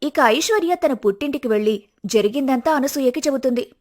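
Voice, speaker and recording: A woman's voice: high-pitched, a little fast. A woman narrating a cartoon family story in a plain storytelling way.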